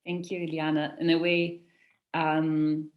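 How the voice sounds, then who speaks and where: Low-voiced woman moderating a seminar. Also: little pitch movement, takes it slowly, phone-quality sound. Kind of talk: moderating an online discussion. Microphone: video call microphone.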